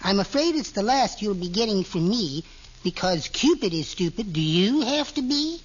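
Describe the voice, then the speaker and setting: A man's voice: high-pitched, expressive, normal speed. A man playing a meek, henpecked little husband with sly spite, mild and prim, in 1940s radio comedy style. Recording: vintage radio, muffled with background hiss.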